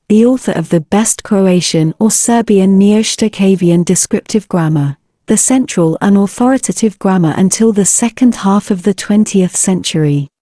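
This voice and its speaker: A woman narrating a scripted history lecture in an even, read-aloud manner. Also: mid-range voice, dry recording, studio quality.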